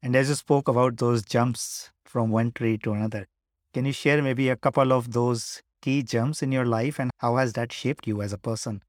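A man's voice: mid-range voice, fairly even intonation, moderate pace, no room sound, clean studio sound. A man hosting a conversational interview, putting his questions in a hesitant, reflective way. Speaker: podcast host, interviewer.

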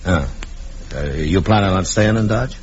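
An actor playing a stoic, terse, world-weary frontier marshal in a radio western. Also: male voice, deep voice, moderate pace, close to an everyday voice. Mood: guarded, subdued. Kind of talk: 1950s radio western acting. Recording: dull, noisy vintage radio recording.

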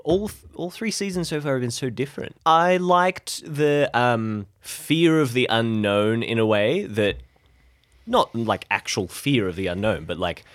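A man's voice: lowish voice, no echo, clean studio sound. A man co-hosting a show in casual, unscripted chat, with a rambling, jokey way of talking. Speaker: podcast co-host and improv voice actor.

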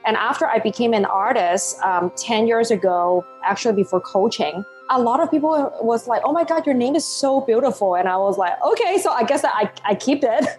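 A woman's voice: on the high side, fairly quick, hardly any pauses, faint music behind. Woman with a Hong Kong accent. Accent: Hong Kong English. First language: Cantonese. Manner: animated, laughs often, non-native phrasing. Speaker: guest, life coach. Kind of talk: podcast interview.

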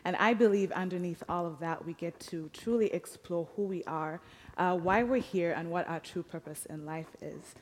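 A young Ugandan woman gives a personal talk in a slightly low voice. Her delivery is measured and reflective, with a light touch of humour. The sound rings with the long reverb of a hall. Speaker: speaker telling personal story.

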